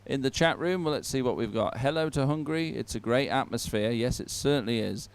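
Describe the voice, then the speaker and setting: A man presenting a live sports event off the cuff, in a chatty, somewhat halting manner. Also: medium pitch, animated, clean tone.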